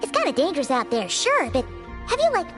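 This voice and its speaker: A woman voicing a tiny childlike fairy companion in a video game, squeaky, chatty and expressive. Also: quick pace. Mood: bright, chatty. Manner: chatty, expressive, refers to self in third person. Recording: loud background music.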